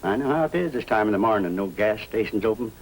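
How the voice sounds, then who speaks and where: Humble American janitor, folksy and deferential, lowish quick voice, vintage radio sound. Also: male voice, animated, rough recording. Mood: earnest, recounting. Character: humble, obliging working-man janitor, a robbery victim. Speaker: supporting actor in a police radio drama. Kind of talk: 1950s radio acting, naturalistic. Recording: old radio recording with faint noise.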